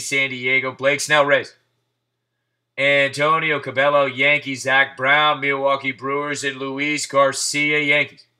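Bright-voiced man rattling off card names. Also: medium pitch. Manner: rapid-fire name calling, hyped asides. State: losing his voice. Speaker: card break host. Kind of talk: live card break, calling out players. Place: indoors at a table.

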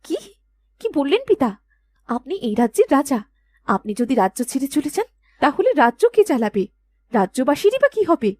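A woman's voice: high voice, big pitch swings, normal speed, pauses often, a little mellow. A woman voicing a dutiful young princess in a storybook cartoon, with an earnest, regal way of speaking. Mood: worried, questioning. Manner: earnest, insistent questioning.

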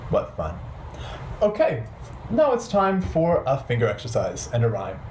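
A man's voice: mid-range voice, huge pitch swings, measured pace, noisy background. A man in his thirties hosting a children's storytime, talking playfully and directly to young kids. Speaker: children's storytime host at a library. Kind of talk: children's storytime with songs and rhymes. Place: small bright living room, indoors. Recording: roomy, echoing indoor sound. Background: ukulele music at times.

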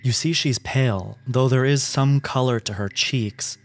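A man narrating a horror tabletop game as game master, with dramatic, descriptive and deliberate phrasing. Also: medium pitch. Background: background music.

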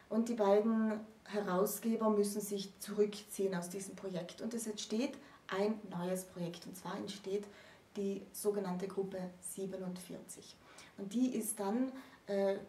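A woman in her thirties explaining literary history in a conversational, deliberate lecture style as a co-presenter. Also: medium pitch, darkish tone. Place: small indoor room with bookshelves. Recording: roomy echo, distant microphone.

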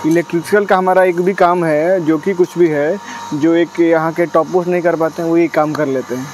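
Man with a high voice, explaining at length. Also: steady tone, normal speed, darkish tone, a little hiss or hum, poor audio. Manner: explains at length with frequent "uh" fillers and English business terms. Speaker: dairy business partner. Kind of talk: casual interview answers. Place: outdoors at night beside a delivery van.

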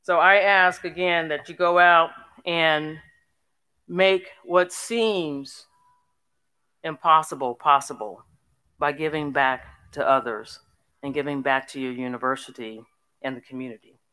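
An older woman giving a formal, inspirational commencement speech in an earnest, emphatic manner with deliberate phrasing. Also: low voice, fairly even intonation, sharp tone, clean tone. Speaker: commencement speaker. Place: on stage in a large hall at a graduation ceremony. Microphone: podium microphone.

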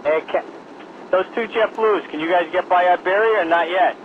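Brisk radio ground controller, noisy line. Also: male voice, high-pitched, phone-quality sound. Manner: brisk, exasperated, wisecracking. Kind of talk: air traffic control radio.

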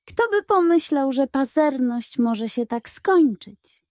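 A lazy storybook tomcat, played by a woman, amused and gently mocking. The voice is mellow and wry, recorded dry and close. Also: high-pitched, animated, takes it slowly, no echo, pitched above the performer's own voice. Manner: drawling, wry. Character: lazy, amused, teasing male cat.

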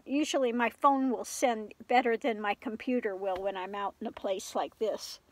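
An older woman chatting casually into her phone inside a camper, stuffed up with a cold and clearing her throat often. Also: on the high side, dry recording. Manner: rambling, frequent throat clearing and soft laughs. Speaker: vlogger. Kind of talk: casual chat to camera. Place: inside a small camper van at night. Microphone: phone camera at arm's length.

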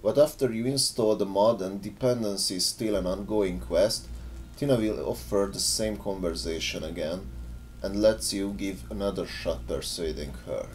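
A man's voice: sits low, normal speed. A man presenting a game mod in scripted, explanatory video narration.

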